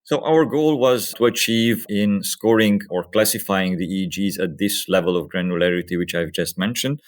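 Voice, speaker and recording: A man's voice: low voice, normal speed. A man giving explanatory answers as a physician researcher and guest in an interview.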